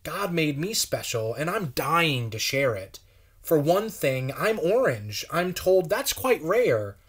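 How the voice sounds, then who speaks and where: An eager little carrot girl from a picture book, as a man puts her on. She is childlike and animated, speaking in storybook rhyme. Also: a little high, slow pace, husky, full character voice.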